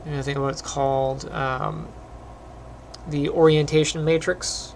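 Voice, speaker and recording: A middle-aged man talks through his animation work at a desk mic, slightly higher voice with a gravelly, phlegmy edge. He rambles in a relaxed way, full of ums, and keeps clearing his throat. A faint hiss sits under the recording. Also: slow. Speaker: animation teacher. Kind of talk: casual screen-recorded tutorial chat.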